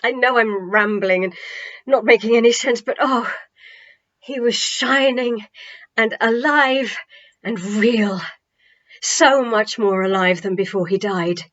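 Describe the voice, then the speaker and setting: A woman voicing an excitable, devout woman disciple in a storybook, with a breathless, halting, gushing delivery. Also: a little high. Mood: gushing, awed. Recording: slightly dull home recording.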